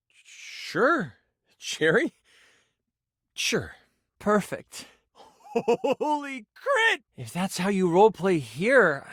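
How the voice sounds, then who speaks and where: Geeky tabletop game master, lighter-pitched male voice, swooping intonation, earnest, easily flustered, stammering, video game character acting. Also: fairly bright tone, no echo, studio quality, heavily acted. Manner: stammering, eager, gushing, self-conscious.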